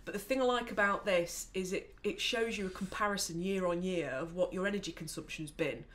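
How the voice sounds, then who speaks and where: A woman's voice: fairly low pitch, brisk. Woman casually explaining apps. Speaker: co-host of an app review show. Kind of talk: casual explanatory tech review.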